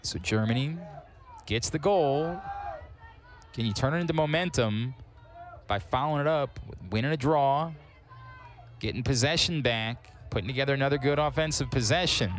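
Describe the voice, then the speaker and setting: A man's voice: mid-range voice, faint music behind. A man calling live play-by-play on a sports broadcast, with wry asides between plays. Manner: quick, excitable calls on big plays. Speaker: sports commentator.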